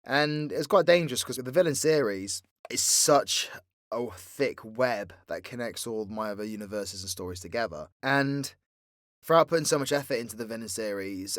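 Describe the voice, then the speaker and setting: A soft-spoken British man, an audio roleplay creator, talking candidly and casually to his listeners in an unhurried way. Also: mid-range voice, no echo, clean studio sound. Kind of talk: casual, confessional talk to listeners.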